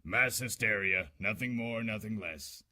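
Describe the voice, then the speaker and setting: A man playing a gruff, wry police commissioner with a tough deadpan manner, in 1940s radio drama style. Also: lowish voice, a little slow.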